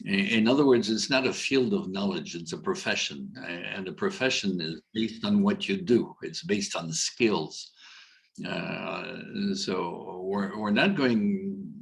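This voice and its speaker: A man's voice: sits low, a little slow, vocal fry. A middle-aged man giving a casual, rambling talk on a video call at a conference, with many fillers and restarts. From Algeria. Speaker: coach and conference speaker.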